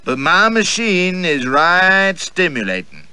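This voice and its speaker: A man voicing a languid, flirtatious society woman with a slow drawl. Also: medium pitch, sharp tone, dry recording, natural voice. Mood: coy.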